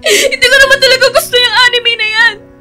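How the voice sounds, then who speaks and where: A woman's voice: extremely high pitch, fairly even intonation, brisk, sharp tone, faint music behind, full character voice. A woman playing a heartbroken young woman in a radio drama, crying and pleading bitterly. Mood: tearful pleading. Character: heartbroken young woman Shane, bitterly confronting the man she loves. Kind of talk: emotional Filipino radio drama acting.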